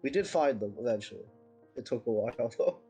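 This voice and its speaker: A man's voice: mid-range voice, expressive, fairly quick, warm tone, breathy edge, dull audio, faint music behind. Congested young man, blunt and swearing. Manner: blunt, swearing, laughing often. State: congested from a sinus infection. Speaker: streamer's guest. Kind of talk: casual chat.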